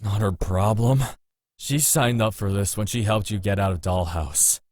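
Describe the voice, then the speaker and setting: Male actor as gruff stubborn man, lowish voice, blunt, cocky, caring underneath, visual novel, dry close sound. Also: normal speed, a little mellow, no room sound, lightly acted. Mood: blunt, insistent.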